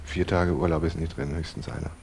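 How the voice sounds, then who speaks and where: An actor playing an exasperated husband who loves structure, arguing haltingly in a naturalistic radio drama about couples therapy. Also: male voice, a bass voice, level tone, darkish tone, slight background noise. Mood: resigned, grudging. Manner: halting, argumentative, full of hesitations. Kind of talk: naturalistic improvised-sounding radio play dialogue.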